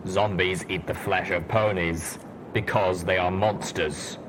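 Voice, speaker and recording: A man voicing a low, solemn ghoul soldier, noisy recording. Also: fairly even intonation. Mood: cold, defensive. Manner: slow, solemn. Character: grave, blunt male ghoul pony soldier.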